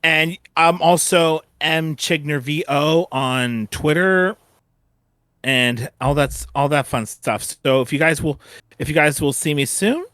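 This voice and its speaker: A man hosting a casual, unscripted fan panel, speaking haltingly and often restarting his phrases. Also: on the high side, fairly bright tone, clear, resonant tone, dry recording, studio quality. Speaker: panel host.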